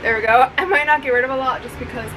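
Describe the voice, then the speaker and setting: A woman's voice: high voice, quick pace, sharp tone, a little hiss or hum, low-quality recording. Congested, sniffly young woman, chatty vlogger. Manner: chatty, playful, blunt. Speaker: booktuber host. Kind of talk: casual chat to camera. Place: bedroom with bookshelves behind her.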